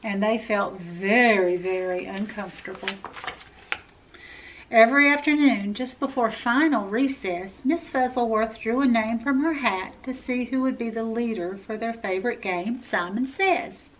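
A woman's voice: fairly high pitch, measured pace, low-quality recording. A middle-aged woman reading a children's picture book aloud expressively, as a library storyteller. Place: indoor room with full bookshelves.

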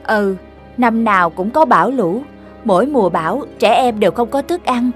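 Lady doing a cartoon animal mama, kinda high, caring but firm, music behind. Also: expressive, moderate pace, close to an everyday voice. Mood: sympathetic. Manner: motherly, gently admonishing. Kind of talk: animated children's cartoon dialogue.

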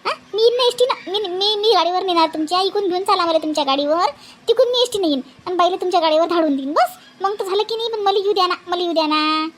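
A woman's voice: very high-pitched, fast, slight background noise. A woman playing a pushy, touchy village daughter-in-law in a comedy cartoon, insistent and repetitive, with a Vidarbha Marathi accent. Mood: eager, hurried. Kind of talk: exaggerated cartoon family comedy.

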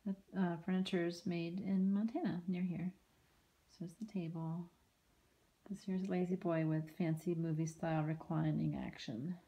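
A woman giving a casual walking tour of a house and its backyard, filmed on a handheld phone, with chatty remarks that trail off into soft asides. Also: lowish voice, steady tone, moderate pace, husky, rough recording. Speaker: home tour vlogger. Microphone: phone camera held while walking.

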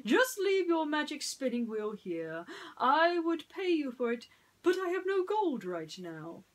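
A woman voicing a greedy, boastful and childish male prince in a storybook reading, with excited, shrill exclamations. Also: measured pace. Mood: gleeful boasting.

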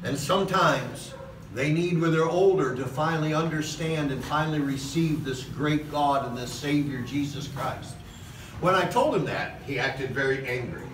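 A middle-aged man preaching a sermon from a podium in a large church hall, passionate, with "okay?" asides and slow emphatic lines. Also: on the high side. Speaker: pastor. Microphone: far camera in the hall. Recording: echoey hall recorded from a distance with noise.

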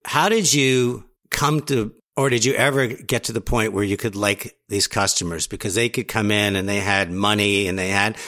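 A male podcast host asks thoughtful, probing questions. His voice sits on the low side, with a bright, sharp edge to it. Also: normal speed, hardly any pauses. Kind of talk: interview questions.

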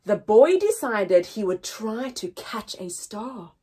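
A young woman reading a picture story aloud for small children, expressively, with deliberate pauses and emphasis and a slightly husky voice. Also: medium pitch, big pitch swings, warm tone. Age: young adult. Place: indoors, small room with painted backdrop.

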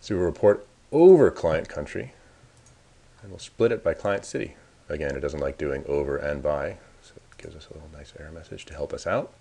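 A man's voice: very deep voice, fairly quick, creaky, mellow, breathy edge. A man narrating a casual screencast tutorial as the presenter, informally thinking aloud as he works. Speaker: software presenter giving a demo.

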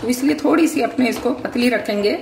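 A middle-aged woman calmly explaining a cooking demonstration step by step in her home kitchen. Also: a little high, fairly quick, gravelly, slight background noise. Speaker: home cook, channel founder. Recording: echoey kitchen from some distance. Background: sizzling and stirring in a pan.

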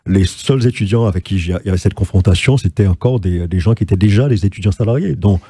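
An older man from Guadeloupe speaks as a guest in a TV studio interview, his voice low, dark and a little husky. He talks in a measured, reflective way and repeats phrases for emphasis. The recording is dry and close, taken on a lapel mic. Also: dry recording. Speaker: guest, journalist and writer.

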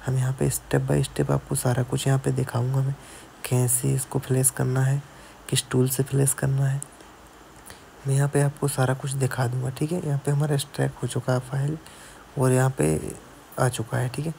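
Gravelly man giving a repair tutorial. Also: medium pitch, level tone, moderate pace, mellow, a little hiss or hum. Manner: explains step by step, keeps adding "theek hai". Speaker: mobile repair technician. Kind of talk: screen-recorded tutorial narration. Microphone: voice-over on a screen recording.

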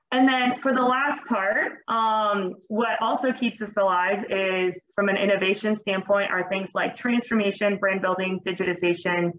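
A woman's voice: medium pitch, fairly bright tone, slight background noise. A young woman from Venezuela presenting eagerly over slides on a video call, speaking through a mask with frequent fillers. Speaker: engineering manager presenting to teachers. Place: at her workplace, seen small in video-call window. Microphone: video call, masked. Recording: roomy, dull video-call audio.

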